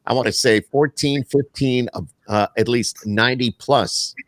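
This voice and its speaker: A man's voice: medium pitch, a little slow, no echo. An American man hosting a podcast, chatting casually and putting questions to a guest. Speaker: podcast host. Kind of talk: casual interview chat.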